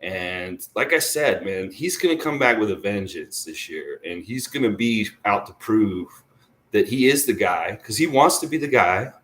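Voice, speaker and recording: A man's voice: fairly low pitch, expressive, normal speed, fairly bright tone. A man talks off the cuff like a devoted sports fan. He is enthusiastic and rambling, often circling back to repeat a point for emphasis. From Canada. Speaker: sports vlogger, basketball fan commentator. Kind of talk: casual unscripted fan commentary.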